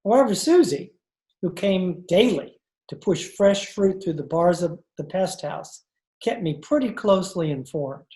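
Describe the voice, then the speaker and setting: A woman's voice: on the low side, expressive, a little slow, mellow, echoey room. A woman playing a wry male adventurer and con man in an old-time radio drama, recorded over a video call.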